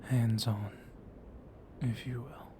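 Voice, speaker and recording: A man playing a flirtatious, gently dominant vampire librarian in an intimate ASMR roleplay, speaking softly and often in a whisper, teasing and seductive. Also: medium pitch, steady tone, takes it slowly, noisy background, lightly acted.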